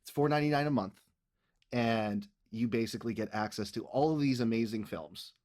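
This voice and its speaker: A man's voice: medium pitch, fairly quick, darkish tone. A man hosting a podcast in casual chat, speaking enthusiastically about his own show. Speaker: podcast creator and host.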